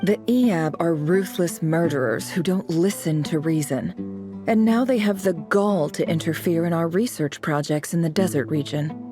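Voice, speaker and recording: Woman acting cold, cunning, low-voiced young schemer woman. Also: over music, natural voice. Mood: cool, calculating. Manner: smooth, measured, disdainful. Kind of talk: video game voice acting.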